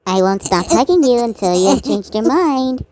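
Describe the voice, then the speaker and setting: A woman voicing a pushy, clingy, excitable friendly character in an amateur cartoon. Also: fairly high pitch, moderate pace, close to an everyday voice. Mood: pleading, insistent. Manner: pleading, insistent.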